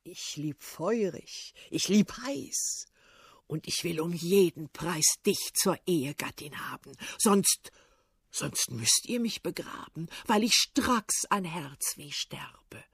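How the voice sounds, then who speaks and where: A woman's voice: on the low side, animated, takes it slowly, husky, no room sound, clean studio sound, pitched below the performer's own voice, heavily acted. A woman voicing a vain, blustering old male tsar with a pompous, threatening manner. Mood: pleading.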